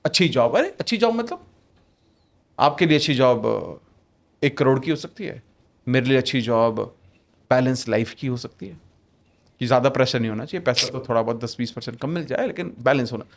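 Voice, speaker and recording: A man's voice: mid-range voice, lively intonation, brisk, gravelly, pauses often. A man on a podcast as a guest author, explaining ideas emphatically with rhetorical questions and English phrases mixed into his talk.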